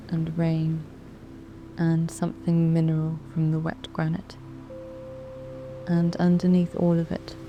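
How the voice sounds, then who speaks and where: A woman with a British accent narrating a guided sleep meditation in a soft, calm, soothing way, with long measured pauses. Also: fairly low pitch. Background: background music.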